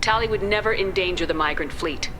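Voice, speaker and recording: An actress playing a tough, blunt military starship captain in a video game, speaking firmly and defiantly. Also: female voice, lowish voice, brisk, noisy background, close to an everyday voice.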